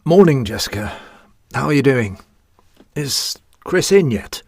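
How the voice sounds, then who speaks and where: A man's voice: mid-range voice, expressive, unhurried, vocal fry, husky, no room sound, studio quality, exaggerated voice. A man playing a joking, loving middle-aged family dad in an audio drama. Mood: polite, unwell.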